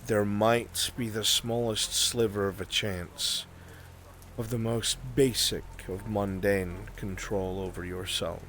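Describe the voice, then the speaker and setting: A man playing a grave, cautioning scholar of dangerous magic in a fantasy roleplay, speaking in solemn, formal phrases with deliberate pauses. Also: on the low side, fairly even intonation, fairly bright tone, slight background noise. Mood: solemn warning. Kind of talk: improvised fantasy roleplay acting.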